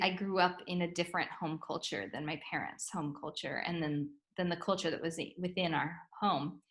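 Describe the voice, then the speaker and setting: A woman's voice: medium pitch, fairly bright tone. A woman interviewing an author, asking questions in a friendly, engaged way with many fillers. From US-Mexico border. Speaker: publisher and interviewer.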